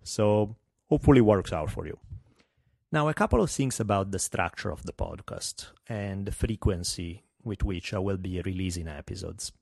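A man's voice: sits low, normal speed, gravelly, darkish tone, no echo, clean studio sound. A middle-aged man with a heavy Italian accent, hosting a solo podcast in a candid, wry, self-deprecating way. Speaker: podcast host.

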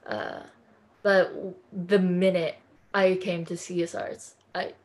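A woman's voice: lowish voice, flat delivery, takes it slowly, darkish tone. A woman from California, a podcast guest talking in an effusive, earnest way in a casual interview chat.

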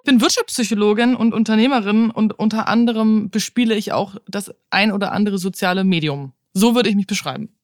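A woman's voice: mid-range voice, brisk, hardly any pauses, no echo, clean studio sound. A woman aged thirty chatting casually as a podcast co-host, frank and informal, slipping English slang into her talk. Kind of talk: casual chat.

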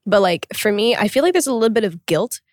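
Lively, playful young woman in a dry room. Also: mid-range voice, dry recording. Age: 20s. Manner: playful, quick to laugh, lively teasing. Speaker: podcast co-host. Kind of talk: casual chat.

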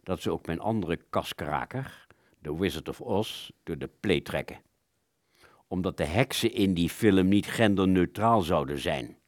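Casting: man as indignant ghost of a film director for radio drama, low voice, very lively intonation, theatrical. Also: a little slow, no echo, clean studio sound. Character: aggrieved, wordy ghost of an old-time Hollywood film director. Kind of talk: radio play acting.

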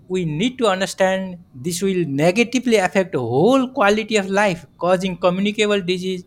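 A man, a project coordinator speaking in a measured, methodical way on a formal panel. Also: high voice. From Nepal.

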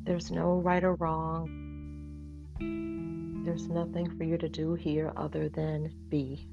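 A woman leading a guided meditation in a soothing, measured way with long pauses. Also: low voice, monotone, creaky, dull audio. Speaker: meditation guide, holistic practitioner. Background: loud plucked guitar music.

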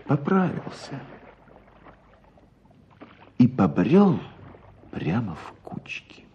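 A man's voice: medium pitch, wildly swooping pitch, extremely slow, slightly rough, dull audio, exaggerated voice. An actor playing a grave man who tells a sad life story expressively in a radio drama. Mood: grave storytelling.

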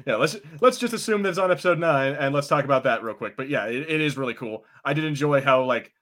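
A man's voice: mid-range voice, expressive, fast, a bit bright. Casual swearing male podcast co-host. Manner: casual, swears freely, hesitant restarts. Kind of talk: casual chat.

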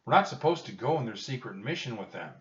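Man playing a worried, raised-pitch storybook boy. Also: very animated, normal speed, fairly bright tone, roomy sound. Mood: exasperated. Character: young boy, cautious, worried storybook hero. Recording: dull, lo-fi, distant microphone.

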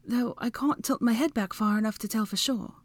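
Woman voicing a high, snippy young witch. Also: brisk, no room sound, lightly acted. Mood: breathless, resigned. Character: bossy, exasperated young witch, sharp-tongued and defiant.

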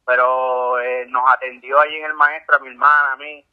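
A man from Puerto Rico with a Puerto Rican accent, a guest telling his story calmly, with many fillers. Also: medium pitch, moderate pace. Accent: Puerto Rican Spanish. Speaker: guest, ultramarathon swimmer. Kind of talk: casual interview. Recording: tinny phone-like call audio.

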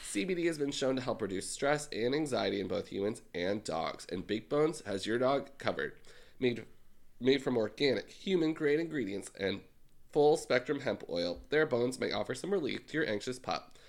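A man co-hosting a casual podcast, sounding congested and ill, with dry deadpan jokes. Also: mid-range voice, fairly quick, vocal fry. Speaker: podcast co-host. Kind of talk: casual chat and read ad.

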